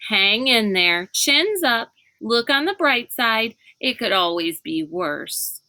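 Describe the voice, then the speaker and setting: A woman's voice: on the high side, sing-song, takes it slowly, pushed and bright, no room sound, heavily acted. A woman voicing a cheerful, dim-witted, chatty male school minder in a children's storybook, breezy and guileless. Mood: encouraging. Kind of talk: children's storybook read aloud.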